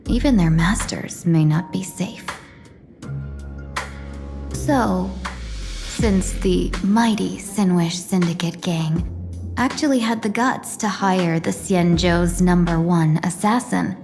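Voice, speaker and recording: Game role, young woman envoy posing as assassin; low-ish, fry-edged, theatrical and composed, over music. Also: slow pace. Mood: cold, menacing. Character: young female merchant-guild envoy posing as deadly assassin. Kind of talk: video game voice acting.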